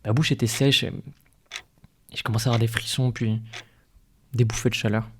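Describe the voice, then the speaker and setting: A man's voice: mid-range voice, steady tone, moderate pace, noisy background, natural voice. A man playing an ailing, anxious village worker in an audio drama, with a hesitant, stammering, folksy way of talking. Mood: weary, unwell. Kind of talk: audio fiction podcast acting.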